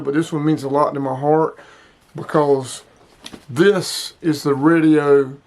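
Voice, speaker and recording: A man in his sixties hosting a casual hobby product showcase, speaking emphatically and repeating himself to drive points home. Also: a little high. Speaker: host of a hobby radio video.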